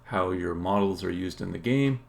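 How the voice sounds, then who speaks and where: A man, a game developer, casually explaining his work on a live stream in a halting, think-aloud way. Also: sits low. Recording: clear background noise.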